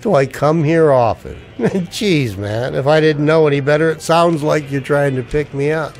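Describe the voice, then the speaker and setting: A man's voice: fairly high pitch, moderate pace, lightly acted. An actor playing a wry, wary ex-soldier down on his luck, with dry, sardonic banter in a radio play. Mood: wary, teasing. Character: wry, wary unemployed ex-Ranger, expectant father. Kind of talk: radio play dialogue in homage to old radio dramas.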